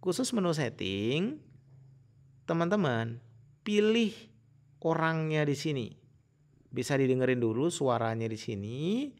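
A man giving a casual, informal software tutorial in an explanatory way. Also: fairly high pitch, lively intonation, unhurried, pauses often. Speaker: tutorial host.

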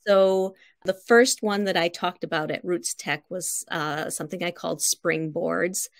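A woman's voice: lowish voice, steady tone, a little slow, dry recording, studio quality. A middle-aged woman, a writing teacher, explaining conversationally as a guest on a video call from home. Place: home living room.

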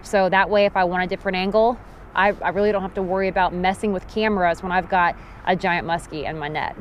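A woman in her thirties giving a casual, practical how-to talk to a handheld action camera on a river gravel bank, firm when stressing safety rules. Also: lowish voice, fairly even intonation, fast, dull audio, a little hiss or hum. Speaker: fishing vlogger. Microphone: action camera held at arm's length.

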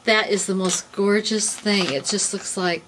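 A woman's voice: mid-range voice, steady tone, a little slow, a bit bright, slight background noise, low-quality recording. A middle-aged woman interviewing a guest in a small cluttered office, effusive and enthusiastic. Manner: effusive, enthusiastic, laughs often. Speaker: interviewer, extension director. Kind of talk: casual interview.

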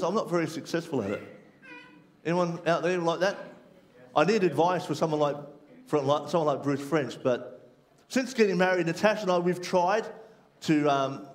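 A man's voice: fairly high pitch, brisk. A man in his forties, a pastor, welcoming people and opening a sermon in a friendly, chatty, self-deprecating way from the stage of a large church hall.

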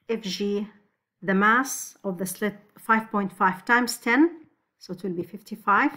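A woman's voice: medium pitch, fairly bright tone. A woman teaching physics, talking step by step through exam problems over a screen recording, with frequent restarts. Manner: slow, step-by-step explaining with restarts.

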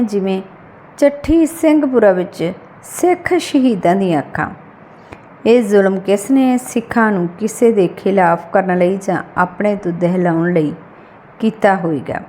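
A woman narrates a written short story in audiobook style. Her voice sits at an average female pitch. She speaks, delivering prepared prose as a storyteller. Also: normal speed, warm tone, a little hiss or hum.

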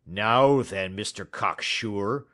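Man acting a blunt, hot-tempered market salesman, drawn-out delivery. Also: on the low side, sharp tone. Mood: smug, triumphant. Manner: blunt, combative, emphatic. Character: horsey, hot-tempered male market poultry salesman, gruff and stubborn. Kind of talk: audiobook character voice.

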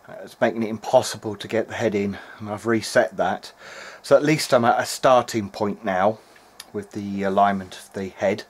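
A middle-aged man talking through a repair in a hesitant, meandering, matter-of-fact way, as in a video diary. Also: on the low side. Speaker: electronics repair hobbyist. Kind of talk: video diary, thinking aloud while working. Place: indoor workbench.

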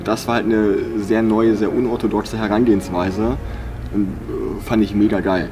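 A young man giving a casual, relaxed testimonial about a seminar. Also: on the low side, moderate pace, music in the background. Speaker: seminar participant. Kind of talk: interview testimonial. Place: indoor sports hall.

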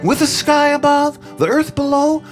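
An older man, a singer-songwriter, singing his own songs into a stand mic on a small cafe stage, with soft, halting spoken introductions between songs. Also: extremely high pitch. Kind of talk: singing original songs with acoustic guitar. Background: his own acoustic guitar.

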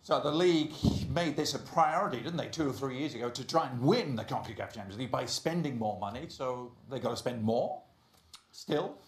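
A man hosting a football studio panel, briskly steering the talk with questions. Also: medium pitch, animated. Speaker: studio host. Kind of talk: sports studio discussion. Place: TV studio with round desk. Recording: roomy studio with music.

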